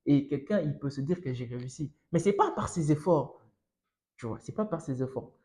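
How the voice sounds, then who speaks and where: A young man from Congo with a Congolese French accent, a student guest discussing casually in an emphatic, halting, repetitive way. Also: a little high, lively intonation, warm tone. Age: young adult.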